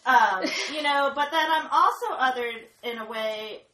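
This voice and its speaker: Bright-voiced woman in an echoey room. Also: high-pitched, a little slow. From United States. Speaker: panelist. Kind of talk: casual discussion.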